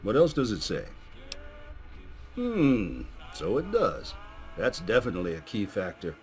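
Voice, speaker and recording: Guy doing a gruff army-minded coach for a game, bossy n fiery, pitch jumps around a lot. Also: huge pitch swings, measured pace, a little mellow. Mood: approving, expectant. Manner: rousing, commanding, profane. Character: gruff, patriotic male coach recruiting soldiers, hot-tempered. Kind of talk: video game voice acting.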